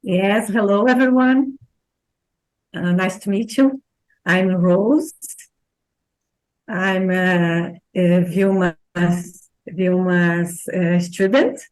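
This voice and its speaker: A woman from southern Brazil with a Brazilian accent, a student speaking hesitantly in English with many pauses. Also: on the low side, steady tone, rough recording. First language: Portuguese. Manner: hesitant, with many fillers and restarts.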